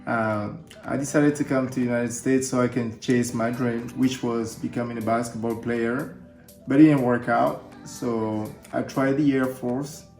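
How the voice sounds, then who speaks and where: A man's voice: medium pitch, steady tone, measured pace, darkish tone. A man, raised in Paris, co-hosting a casual couple Q&A in a playful, joking way. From born in Cameroon, grew up in Paris. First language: French. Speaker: co-host. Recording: echoey room with music.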